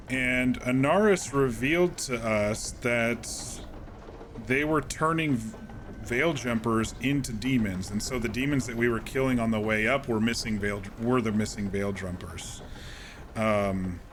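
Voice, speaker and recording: A man streaming a game, casually recapping events in a calm, rambling way with many fillers and restarts. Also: medium pitch, slight background noise.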